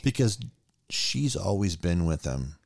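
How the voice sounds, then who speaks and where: Male podcast co-host, very low voice, dry close sound, recaps scenes casually. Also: unhurried, gravelly, no room sound. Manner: animated retelling, acting out scenes. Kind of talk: casual movie discussion.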